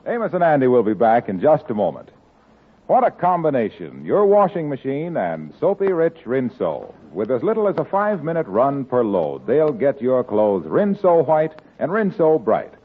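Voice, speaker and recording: A man announcing and reading sponsor messages on a 1940s radio comedy show, in an upbeat sales pitch. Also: wildly swooping pitch, moderate pace, breathy edge. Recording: tinny old radio broadcast, faint music.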